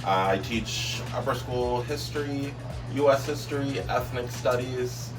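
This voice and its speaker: A man's voice: mid-range voice, a little slow. A young man who teaches history, speaking earnestly with many hesitations. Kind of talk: interview clip. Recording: distant mic with music bed.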